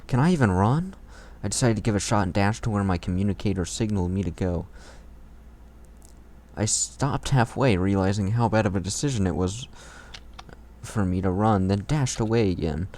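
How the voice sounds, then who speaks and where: A man's voice: fairly low pitch, normal speed, darkish tone. A man reading fan fiction as an amateur, stumbling over words and breaking into casual commentary.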